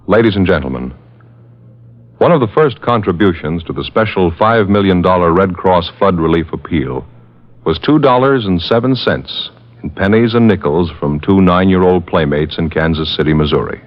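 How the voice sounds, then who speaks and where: A man's voice: deep voice, animated, normal speed. An American man reading scripted announcements in the formal style of 1950s radio. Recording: lo-fi vintage radio, music behind.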